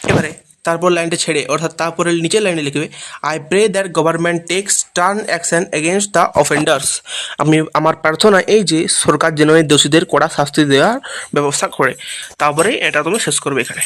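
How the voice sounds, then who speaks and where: A man's voice: a little high, moderate pace, fairly bright tone. A man with a Bengali accent giving an informal tutorial as an English teacher, explaining step by step and checking that students follow. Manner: instructional, often checking understanding with short tag questions. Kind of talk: informal tutorial, reading and translating line by line.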